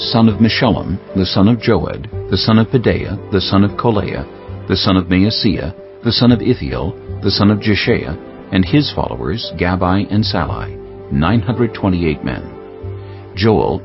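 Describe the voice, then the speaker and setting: A man giving a measured, solemn scripted reading of scripture as an audiobook narrator. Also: sits low, creaky. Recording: dull lo-fi audio with music behind. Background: prominent music bed.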